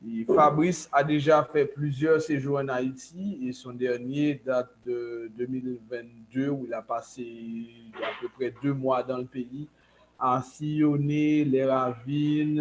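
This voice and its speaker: A man introducing a speaker as host of an online conference, pausing mid-phrase and restarting as he goes. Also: medium pitch, fairly even intonation, slight background noise, low-quality recording. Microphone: video call.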